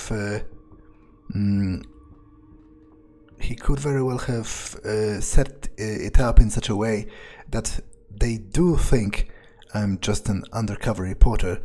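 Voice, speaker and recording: A man's voice: on the low side, slow, a little mellow. A man thinking aloud in character during a game playthrough, musing and hesitant, often trailing off. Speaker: let's player speaking in character as a vampire.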